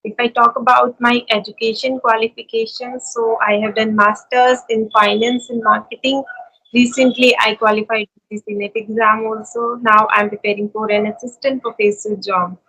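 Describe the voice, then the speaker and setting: A woman in her twenties from India with an Indian accent, chatting in a friendly, earnest way and pausing now and then to find her words. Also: fairly high pitch, flat delivery, sharp tone, poor audio. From India (Uttarakhand). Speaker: YouTuber and conversation partner. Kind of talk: casual conversation practice.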